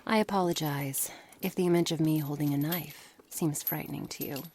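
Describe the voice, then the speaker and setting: A woman playing a cold, curious, menacing witch in an ASMR roleplay, speaking formally and condescendingly, often dropping into breathy near-whispers. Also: sits low, steady tone, normal speed, darkish tone. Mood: coolly threatening. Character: cold, menacing, curious witch experimenting on her captive pet. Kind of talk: ASMR roleplay, scripted dramatic monologue. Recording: noisy background ambience.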